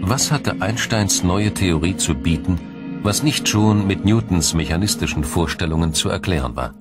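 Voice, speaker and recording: A man reading scripted documentary narration in a measured, explanatory way. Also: low voice, lively intonation. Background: music.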